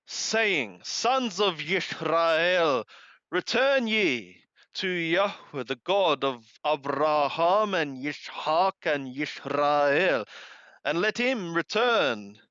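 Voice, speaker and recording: A man reading scripture aloud and translating it literally, in a deliberate, solemn manner, pausing after each short phrase. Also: on the high side, sharp tone, smooth, dry recording, dull audio.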